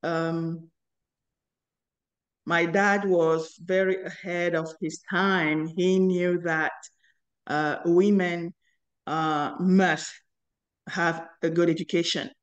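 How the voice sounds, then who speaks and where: Woman in her fifties, interview guest, slow and halting, flat even delivery. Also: on the low side, muffled recording. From Cameroon. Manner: earnest, halting with many pauses and fillers. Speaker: guest, inventor and company founder. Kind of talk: interview storytelling.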